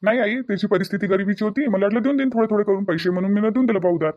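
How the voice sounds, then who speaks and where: A man's voice: high-pitched, racing, muffled recording, natural voice. A man voicing a naive young village son who defends himself to his mother in a cartoon, with a Varhadi Marathi accent. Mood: defensive. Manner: defensive, whiny explaining.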